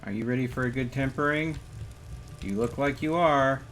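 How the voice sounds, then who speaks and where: A man's voice: mid-range voice, moderate pace, fairly bright tone, poor audio. A man giving casual live gameplay commentary, talking to himself in short muttered remarks as he plays. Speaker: streamer. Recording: clear background noise.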